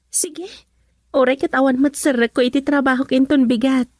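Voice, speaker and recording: A woman's voice: sits high, fairly even intonation, a little slow, darkish tone, dry recording, studio quality. A Filipino woman playing a sweet, agreeable young daughter and girlfriend in a radio drama.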